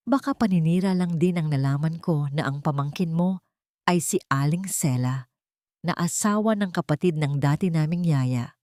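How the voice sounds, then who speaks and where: A stern, wealthy father speaking with icy control and accusation, read by a woman narrator. The pitch sits somewhat low for a woman's voice. It is a dry, close recording of a dramatic story. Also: a little mellow, dry recording. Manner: cold, controlled, confrontational.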